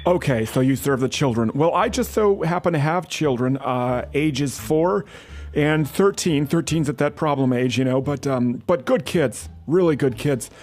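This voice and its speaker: A man's voice: a little high, animated, moderate pace, darkish tone. Pushy man on a prank call. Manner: pushy, falsely sincere, stammering restarts. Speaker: prank caller. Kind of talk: mock-earnest prank phone call.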